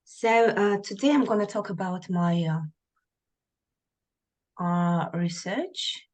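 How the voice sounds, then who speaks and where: A woman's voice: on the low side, expressive, takes it slowly, a little mellow. A woman whose first language is Kazakh, from Kazakhstan, giving a conference presentation over a video call in a hesitant way, with many fillers and restarts. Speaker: artist presenting research.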